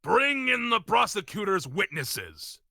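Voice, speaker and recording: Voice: medium pitch, sing-song, a little slow, vocal fry, bright, forceful tone, no echo, studio quality, voice pushed up, full character voice. A person voicing a stern, formal courtroom judge in a video game, giving commands.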